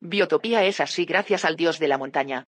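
A woman's voice: deep voice, a little fast, a little hiss or hum, lightly acted. An actress playing an earnest, cautious female researcher in an audio drama, explaining in a serious tone. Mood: earnest, insistent.

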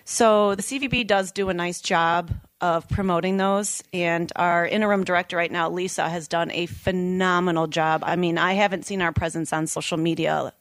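A woman who sits on a town board, a guest on a local radio show, speaking enthusiastically and describing her own voice as loud. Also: fairly low pitch, fairly even intonation, moderate pace, few pauses, no echo. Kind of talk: casual radio interview.

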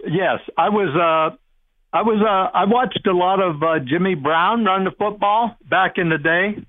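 A man's voice: high voice, measured pace, no room sound. A man in his eighties from Ohio calling in to a radio show over a tinny phone line, casually telling anecdotes. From Ohio, United States. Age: 80s. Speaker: phone-in caller.

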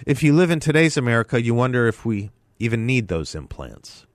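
A man hosting a talk radio show, delivering a measured opinion monologue with a slightly nasal voice from a mild head cold. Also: mid-range voice, sing-song, dry recording. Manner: measured, deliberate, lecturing. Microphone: studio microphone.

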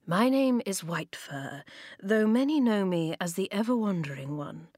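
Woman doing a soft gentle old bear-pelt guy, low and quiet, no room sound. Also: animated, moderate pace, no room sound, clean studio sound, close to an everyday voice.

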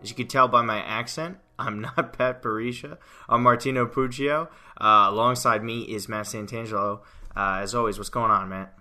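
Bright-voiced American podcast host. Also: male voice, mid-range voice, normal speed, soft background music. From United States. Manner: energetic, conversational, frequent fillers. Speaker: podcast producer and fill-in host. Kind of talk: casual soccer podcast talk.

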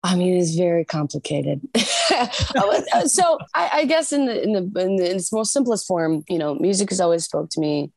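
A singer chatting as an interview guest, animated and laughing often, with many restarts. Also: female voice, on the low side, normal speed, creaky, no room sound, studio quality. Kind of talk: casual interview chat.

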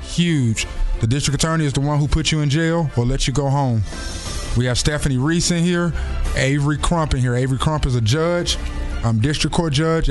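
A man hosting a conversational radio interview, speaking informally and emphatically and repeating words for weight. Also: on the high side. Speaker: radio host. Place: radio studio. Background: music.

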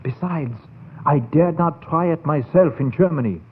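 An actor playing a scheming, insistent scientist in 1940s radio horror, speaking formally and persuasively in a theatrical style. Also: male voice, fairly high pitch, moderate pace, husky. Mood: smooth, persuasive. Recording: tinny, noisy old radio broadcast.

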